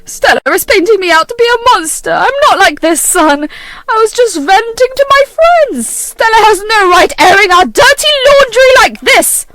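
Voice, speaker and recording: Brief: a woman doing a shrill older mother-in-law, loud and pleading, pitch swooping up high. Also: expressive, fairly quick, hardly any pauses, exaggerated voice, rough recording.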